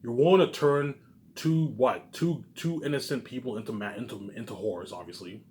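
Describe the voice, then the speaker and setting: A man's voice: medium pitch, moderate pace, a little mellow. A man giving an informal, rambling monologue to viewers, agitated and emphatic, swearing and repeating himself, often trailing off at the ends of phrases.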